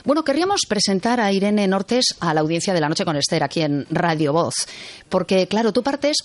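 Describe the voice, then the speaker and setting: A woman radio host with a Spanish accent from Spain talks quickly and conversationally. She is chatty and close on the microphone, in a dry studio sound. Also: medium pitch, very animated, no echo. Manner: chatty, interjects short acknowledgements. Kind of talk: conversational late-night radio interview.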